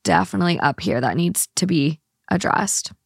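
A woman co-hosting a casual podcast, reading long online posts aloud in an even, steady way and chatting between them. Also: fairly low pitch, normal speed, creaky, breathy edge, dry recording, clean studio sound. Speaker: podcast co-host.

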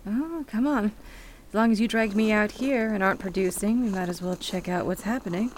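A woman's voice: mid-range voice, a little fast, slight background noise, close to an everyday voice, low-quality recording. A woman playing a skeptical, polite and bemused city dweller in a radio play, with a hesitant, incredulous manner. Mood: exasperated, curious. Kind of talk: naturalistic radio play acting.

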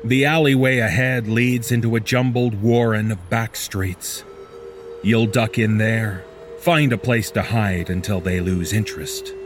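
A man reading a scripted second-person horror story in a measured, deliberate manner. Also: fairly low pitch, steady tone, over music.

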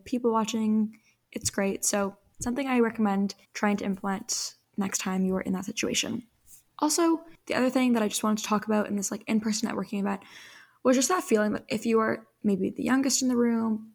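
A young woman talks through her advice in a solo podcast, friendly and earnest. Her voice has a lot of vocal fry and sounds a bit worn and hoarse. She sits at a middle pitch and keeps an easy, conversational flow. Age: young adult. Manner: chatty, earnest, encouraging. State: voice fading, slightly hoarse. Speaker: podcast host.

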